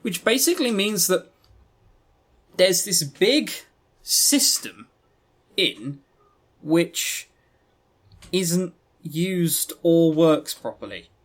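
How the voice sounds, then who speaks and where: A man talks casually as a podcast co-host, his voice sitting a little higher than average. He is opinionated and leans hard on his points. His delivery rambles as he reviews and argues. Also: very animated, very slow, long pauses. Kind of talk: casual review commentary on visual novels.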